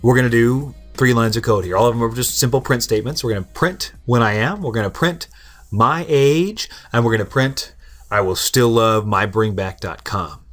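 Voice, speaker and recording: A man's voice: mid-range voice, moderate pace, faint music behind. Casual, joking young male coding tutor. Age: 20s. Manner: casual, joking, self-deprecating explainer. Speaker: programming tutorial host. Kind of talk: screen-recorded coding lesson.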